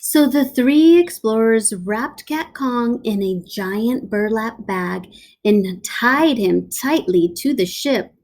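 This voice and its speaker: Slow, expressive woman reading a storybook. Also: on the high side, a little hiss or hum. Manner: animated storytelling with asides. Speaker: elementary school teacher. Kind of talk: picture book read-aloud.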